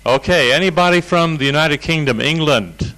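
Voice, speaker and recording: A man hosts a seminar and invites attendees forward in an informal, unhurried way. His voice sits a little high for a man. The talk is loose and full of small fillers. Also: bright, forceful tone, rough recording. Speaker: seminar leader. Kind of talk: hosting audience introductions. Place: seminar room.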